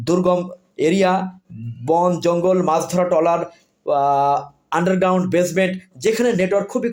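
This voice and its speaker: Male Bangladeshi product seller, higher-pitched voice, quick delivery, insistent demo pitch with list-like phrasing. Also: darkish tone. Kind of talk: informal product demonstration sales pitch.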